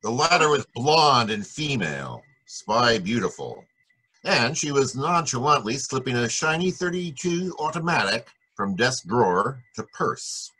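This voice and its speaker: An actor playing a wry, cynical private detective in 1940s radio noir style, with a husky voice and a sardonic manner. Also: male voice, medium pitch, big pitch swings, unhurried, sharp tone, full character voice, poor audio. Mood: wry narration. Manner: sardonic, wisecracking. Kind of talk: 1940s radio noir acting, narration and dialogue.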